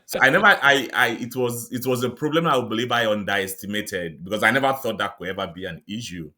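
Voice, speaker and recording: A middle-aged Nigerian man whose first language is Yoruba, speaking as an interview guest in a measured, reflective way with light laughs. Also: on the low side, sharp tone. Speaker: guest, psychiatrist and author. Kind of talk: interview conversation.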